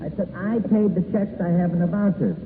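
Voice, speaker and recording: A man's voice: sits high, fairly quick. A man testifying as a witness, plain-spoken and a little defensive, on an old 1940s hearing recording. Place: large echoing hearing room. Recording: echoey, tinny, noisy 1940s hearing recording.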